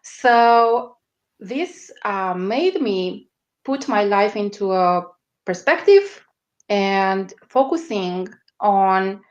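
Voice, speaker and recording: This is a woman with a strong Romanian accent, giving a guest interview over a video call. She talks slowly at a middle pitch. Her sentences stall on fillers and restarts while she looks for the words. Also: animated, muffled recording. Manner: hesitant, searching for words with many fillers. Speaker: guest, media strategist. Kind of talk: interview answers.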